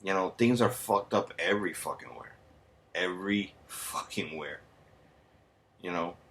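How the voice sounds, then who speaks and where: A man's voice: low-pitched, unhurried, a bit bright. A man from the United States, ranting heatedly and sarcastically on a vlog, swearing constantly and dropping in Spanish slang. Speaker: vlogger. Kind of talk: profane angry rant.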